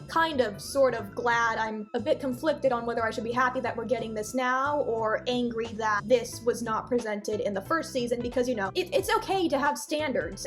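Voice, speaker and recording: A woman reviewer giving casual, opinionated cartoon commentary with animated asides and exclamations. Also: on the high side. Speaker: video reviewer.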